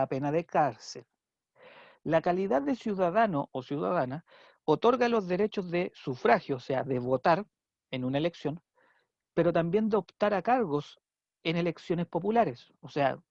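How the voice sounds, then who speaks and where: Chilean man, fairly high voice, teacher tone, muffled lo-fi sound, dry close mic. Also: darkish tone, dry recording. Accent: Chilean Spanish. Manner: conversational, checks in with frequent "¿ya?". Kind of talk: online class lecture.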